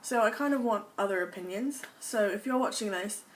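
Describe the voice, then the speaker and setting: A young American woman talks to camera in a put-on British accent, gushing enthusiastically in a casual vlog. Her voice sits at an ordinary middle pitch. She sounds a little distant from the mic, with a faint hiss of background noise. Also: fairly quick. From United States. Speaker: vlogger.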